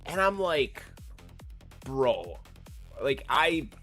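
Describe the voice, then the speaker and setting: A man's voice: medium pitch, very animated, extremely slow, sharp tone, soft background music. A man with a slightly nasal voice chatting casually with viewers while he plays a game, swearing freely. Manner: laid-back, swears freely, talks to chat. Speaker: gaming streamer. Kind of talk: casual live-stream chat while playing.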